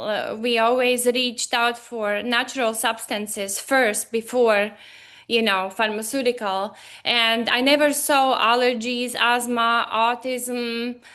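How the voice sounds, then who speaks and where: Bright high woman with a Latvian accent. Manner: animated, slightly broken English. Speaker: guest, Chinese medicine doctor. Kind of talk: casual interview talk. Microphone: video call.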